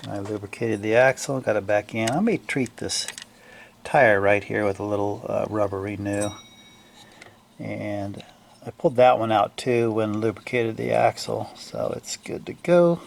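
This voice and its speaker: A man giving casual, unscripted repair commentary in a gravelly voice, thinking aloud haltingly in trailing fragments. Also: on the low side, slight background noise. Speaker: hobbyist restorer narrating a repair video.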